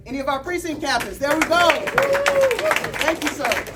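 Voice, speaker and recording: A woman campaign organizer loudly rallying volunteers with call-and-response and giving instructions. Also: high voice, normal speed, far from the mic, a crowd in the background, background noise. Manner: loud, call-and-response energy. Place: campaign office with crowd, indoors.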